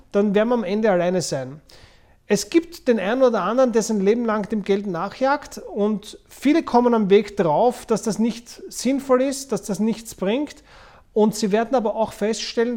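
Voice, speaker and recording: A man's voice: high-pitched, warm tone. A man in his forties with a Viennese Austrian accent hosts a podcast in an unscripted, explanatory way, repeating words for emphasis. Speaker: podcast host, former investment banker. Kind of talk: unscripted podcast talk about money.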